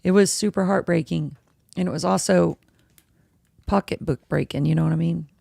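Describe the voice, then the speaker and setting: A woman hosting her own casual podcast, a little hoarse, chatty and rambling as she interrupts herself. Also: moderate pace, mellow, no echo, clean studio sound. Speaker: podcast host. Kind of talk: casual solo podcast chat, live-streamed. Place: home room with dogs. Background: dogs and a squeaky toy at times.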